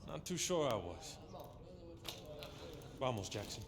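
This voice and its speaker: A man's voice: mid-range voice, sing-song, slow, vocal fry, heavy background noise, heavily acted. A man acting as a wary, grumbling wartime navy airman in a video game. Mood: uneasy.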